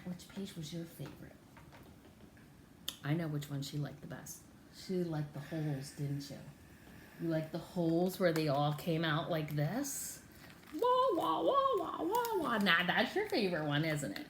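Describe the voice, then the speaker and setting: A woman runs a story time and craft lesson for little children. Her delivery is very animated, swinging widely in pitch and coaxing with questions and repeated words. There is clear background noise and clicking on the recording. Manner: animated, exaggerated, sing-song talk to small children. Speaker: storyteller and children's art teacher.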